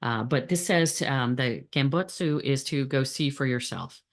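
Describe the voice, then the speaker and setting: A woman's voice: a bass voice, measured pace. A middle-aged woman gives a training presentation on supply chain methods, speaking plainly with frequent fillers and restarts.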